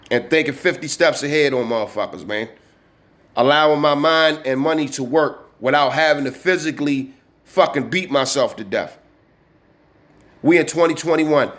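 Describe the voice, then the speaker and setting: A man in his early forties talks straight to the camera from his desk. His delivery is loose and streetwise, full of slang and cursing. He keeps checking in with "you know what I'm saying" as he goes. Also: mid-range voice. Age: 40s. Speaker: channel host. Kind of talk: casual vlog talk to camera.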